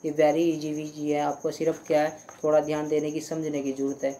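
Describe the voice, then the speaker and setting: A young man teaching English grammar in Hindi, going slowly through example sentences, repeating them and often checking "clear?". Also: on the high side, completely flat delivery, dark tone, slight background noise. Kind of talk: Hindi-language grammar lesson.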